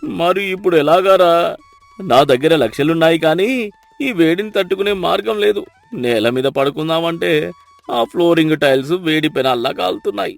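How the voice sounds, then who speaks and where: A man's voice: fairly high pitch, lively intonation, a little fast, vocal fry, dry recording. A man voicing a rich, proud, irritable older man who complains and exclaims about his misery in a cartoon moral story. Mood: exasperated. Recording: music behind the voice. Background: music.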